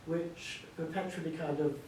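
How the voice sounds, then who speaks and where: Echoey, higher-voiced older man in discussion. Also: fairly even intonation, moderate pace, a little mellow. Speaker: author being interviewed. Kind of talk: literary discussion before an audience. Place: echoey hall at a live book event. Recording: very echoey, distant mic, faint rumbling noise.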